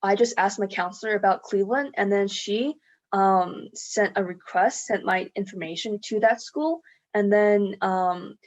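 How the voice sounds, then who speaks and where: A young woman student gives earnest advice in casual chat, pausing often on "um". Her pitch is moderate. The recording sounds muffled and lo-fi. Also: a little mellow. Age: young adult. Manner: earnest, explains with frequent "um". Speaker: student panelist.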